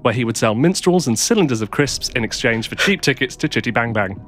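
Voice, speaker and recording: A man hosting a comedy radio show, delivering absurd bits in a mock-serious, deadpan way. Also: medium pitch, a little fast. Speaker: radio host.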